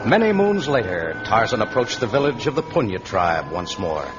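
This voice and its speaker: A man's voice: fairly low pitch, sing-song, normal speed, over loud music. A man narrating a vintage radio serial as a dramatic, scripted storyteller. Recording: dull vintage radio recording.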